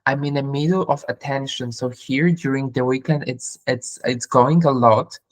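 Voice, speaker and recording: A woman from Poland with a Polish accent, speaking as a guest in casual interview chat, her non-native English halting and full of fillers. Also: rumbling low.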